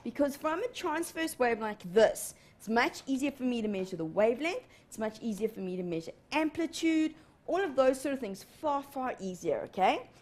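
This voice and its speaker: A woman in her thirties teaching a live televised lesson in a studio, animated and often checking in with "okay?". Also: high-pitched, moderate pace. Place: TV studio in front of a large screen.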